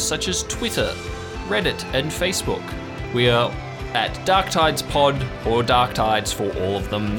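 A man hosting and narrating an improvised audio drama in an informal way. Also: mid-range voice. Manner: informal, joking. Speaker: host and narrator.

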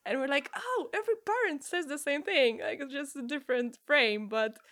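A woman from Poland with a Polish accent, a guest chatting casually on a podcast and telling stories with lively restarts. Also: high voice, normal speed, no room sound, clean studio sound. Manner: lively storytelling with many restarts. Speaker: guest improviser.

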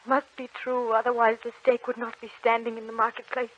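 An actress playing a devout young peasant heroine, anguished yet defiant, in a fervent old-time radio drama style. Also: female voice, on the high side, little pitch movement, brisk, lightly acted. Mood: broken, resigned. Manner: fervent, theatrical. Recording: dull old radio recording.

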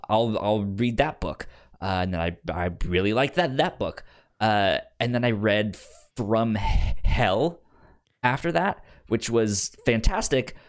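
A podcast co-host chatting casually about pop culture, often repeating syllables and stumbling over words, with animated emphasis. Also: mid-range voice. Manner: halting speech with repeated syllables and stammered words.

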